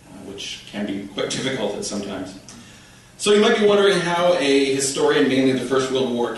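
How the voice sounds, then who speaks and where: A man in his thirties from Ontario with a Canadian accent, reading a prepared history lecture at a podium, with a measured, formal delivery and occasional dry asides. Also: medium pitch, a little hiss or hum. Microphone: podium microphone, recorded from the room. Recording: echoey hall, distant from mic.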